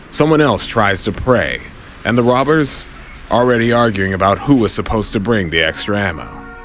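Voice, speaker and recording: Deep, animated male dramatic narrator. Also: slight background noise. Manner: wry, theatrical.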